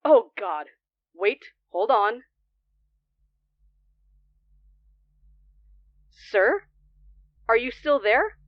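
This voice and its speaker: A woman's voice: on the high side, animated, dry recording, lo-fi sound. A woman playing a polite, composed non-binary late-night radio DJ in an audio drama. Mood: concerned, steady. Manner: polite, unhurried. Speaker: radio show host. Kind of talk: conversational audio drama acting.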